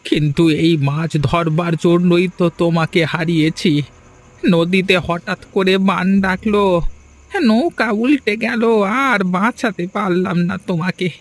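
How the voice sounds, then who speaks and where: A man playing a lonely, obsessed fisherman who grieves his dead wife, mournful and pleading, in a cartoon melodrama. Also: high voice. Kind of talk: Bengali cartoon melodrama acting.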